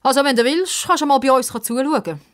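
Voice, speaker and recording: A woman's voice: high voice, fairly quick, pitched above the performer's own voice, natural voice. A woman voicing a stout, self-important boy footballer in a children's story, whiny and bossy. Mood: offhand.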